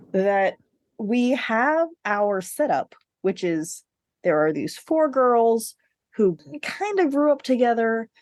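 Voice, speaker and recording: A woman's voice: medium pitch, a little mellow, no room sound. A woman co-hosting a podcast, chatting casually about books in a playful, emphatic way. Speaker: podcast co-host discussing books. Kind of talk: casual book chat.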